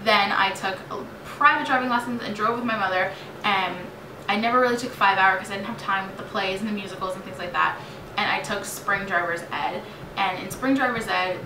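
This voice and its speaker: A late-teen American woman chats like a vlogger telling stories to viewers, animated and dramatic in her delivery. Her pitch is average for a woman. She is recorded away from the mic in an echoey room, with clear noise. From New York State, United States. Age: late teens. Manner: animated, chatty, dramatizes remembered exchanges. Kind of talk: casual storytime vlog chat. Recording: roomy echo, distant mic, clearly noisy.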